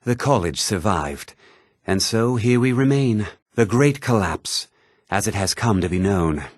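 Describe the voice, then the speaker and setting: A husky, learned fantasy mage. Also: male voice, on the low side, animated, no echo, clean studio sound. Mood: grave, scholarly. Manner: formal, measured, precise diction. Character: learned, formal fantasy mage scholar, haughty and measured. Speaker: video game voice actor. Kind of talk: scripted fantasy game dialogue.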